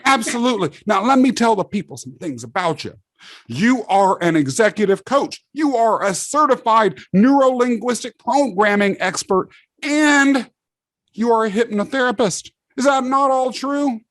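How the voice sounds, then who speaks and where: A man from England hosting a podcast, chatting with a guest in a lively, joking way and laughing easily. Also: very high-pitched, normal speed, no room sound. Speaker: podcast host. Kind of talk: casual interview chat.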